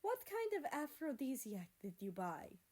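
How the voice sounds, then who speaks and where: A woman's voice: high-pitched, huge pitch swings, unhurried, darkish tone, pitched above the performer's own voice, full character voice. A woman voicing a derisive young man in a story reading, scornful and taunting. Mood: mocking disbelief. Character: derisive, mocking young man, smug rival.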